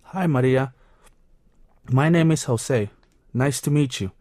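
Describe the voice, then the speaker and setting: A man's voice: mid-range voice, slow pace, a little mellow, no echo. Teen schoolboy, read by a man, cheerful textbook dialogue, close and dry. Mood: friendly. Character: friendly teen schoolboy, seventeen.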